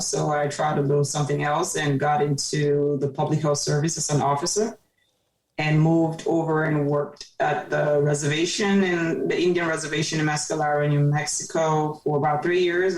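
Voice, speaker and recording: Low-voiced woman, off-mic. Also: distant mic. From Cameroon. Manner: earnest, reflective storytelling. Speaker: podcast guest, pharmacist. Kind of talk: casual conversation. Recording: roomy, off-mic sound.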